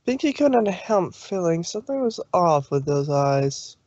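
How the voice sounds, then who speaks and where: A man's voice: sits high, big pitch swings, unhurried, darkish tone, no echo. A man narrating a fan story in a casual stream reading, with occasional slips. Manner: plain reading with slips and laughs. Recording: dull dry lo-fi stream audio.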